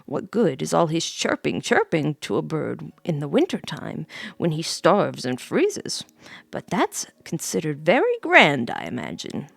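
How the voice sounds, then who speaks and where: Prim, disdainful fairy-tale field mouse, voiced by a woman, sniffy and approving, with some fry. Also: low-pitched, wildly swooping pitch, a little slow, dry recording, heavily acted.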